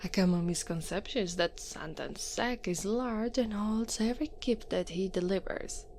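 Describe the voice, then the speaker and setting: An Italian woman playing a tall, teasing Krampus monster woman in a soft, breathy ASMR roleplay, often dropping into a whisper. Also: medium pitch, moderate pace, slight background noise, natural voice. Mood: explaining, coaxing. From Italy. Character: eight-foot horned furry Krampus woman, teasing, coaxing, in control.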